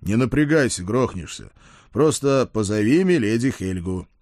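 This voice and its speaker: Man as low, sardonic old lord. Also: lively intonation, normal speed, darkish tone, no room sound. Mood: curt, sardonic. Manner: dry, sarcastic, curt. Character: old sardonic male lord, weary, gruff father. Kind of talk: audiobook character acting.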